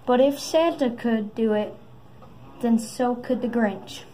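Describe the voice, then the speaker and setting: Woman, storybook reading, slow halting phrases, fairly high pitch, lightly noisy amateur recording. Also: dark tone. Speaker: storybook reader. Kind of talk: reading a children's story aloud.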